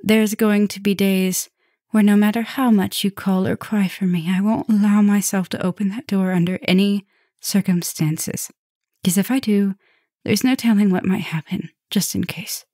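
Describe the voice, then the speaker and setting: A woman playing a caring, softly dominant vampire professor in an ASMR roleplay, talking gently and intimately to the listener, with breathy drops toward a whisper. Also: mid-range voice, fairly even intonation, normal speed, no echo, studio quality, natural voice. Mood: serious, protective.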